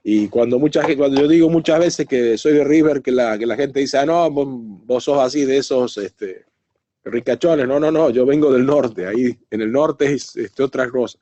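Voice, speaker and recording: A man's voice: mid-range voice, moderate pace, no room sound, dull audio. A man from northern Argentina with an Argentine Spanish accent, lecturing and chatting at an easy pace with many fillers. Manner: relaxed, chatty, fillers like "este". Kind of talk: online lecture Q&A.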